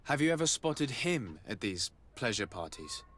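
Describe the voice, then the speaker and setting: An actor playing a composed, courteous but pressing investigator in a video game. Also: male voice, medium pitch, big pitch swings, moderate pace, a bit bright. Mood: polite, probing.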